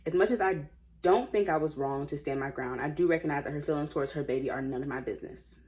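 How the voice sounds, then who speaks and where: Woman, American accent, low pitch, casual read-aloud, blunt sassy asides, informal commentary. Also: quick pace, darkish tone. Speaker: host reading online stories aloud. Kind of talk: casual reading with running commentary.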